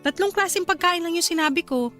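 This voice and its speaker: A woman's voice: extremely high pitch, fast talker, bright, forceful tone. An actress playing a caring young wife and mother in a radio drama, sweet and polite to her elders. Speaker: wife character. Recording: faint music bed behind.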